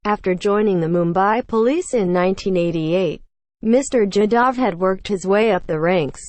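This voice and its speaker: A woman reading a scripted news feature aloud in a measured, formal way. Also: medium pitch, a little mellow, no room sound. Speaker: news article reader.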